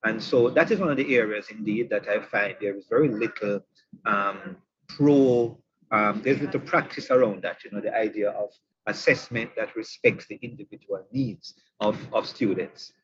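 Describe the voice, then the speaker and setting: A man's voice: lowish voice, moderate pace, a bit bright, slightly rough, highs cut off. A man hosting an online session for teachers. He is chatty and teasing, and he repeats his points. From Jamaica. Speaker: webinar host. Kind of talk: online professional development session.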